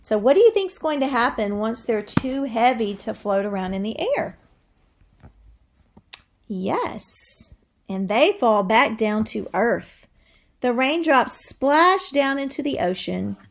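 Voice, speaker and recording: A woman with a fairly high voice and noticeable creak reads aloud like a teacher. She takes her time, explaining things to the listener in an instructive way. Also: expressive, slow pace, a little hiss or hum. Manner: instructive, asks the listener questions. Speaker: teacher reading a science book aloud. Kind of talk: educational read-aloud with explanatory asides.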